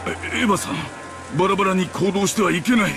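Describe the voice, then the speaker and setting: An actor playing a cautious older gentleman, polite and urgently pleading, in an anime visual novel. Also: high voice, rapid-fire, over music. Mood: urgent, worried.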